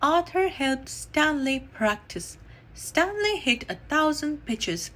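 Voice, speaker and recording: Bright, high-voiced woman reading a children's story. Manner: animated, deliberate storytelling with acted dialogue. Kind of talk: expressive read-aloud storytime narration.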